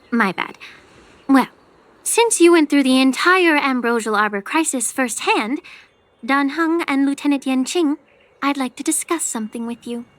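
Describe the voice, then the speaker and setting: A woman voicing a composed, worldly healer-official in a fantasy game, speaking in measured, formal phrases with quiet point. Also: sits high, a little hiss or hum. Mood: polite, businesslike. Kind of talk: fantasy game cutscene dialogue.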